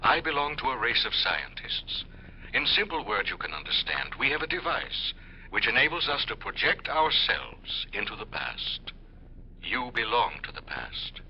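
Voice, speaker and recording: An actor playing an earnest, formal scientist from the distant future in 1950s radio drama style. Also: male voice, medium pitch, big pitch swings, moderate pace, vocal fry. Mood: earnest, reassuring. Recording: muffled, noisy 1950s radio recording.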